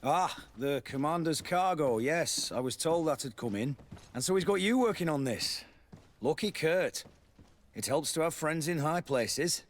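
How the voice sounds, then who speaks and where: An actor playing a worldly, chatty army quartermaster in a video game, a man with a husky voice. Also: a little high, animated, a little slow. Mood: hearty, familiar. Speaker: military quartermaster.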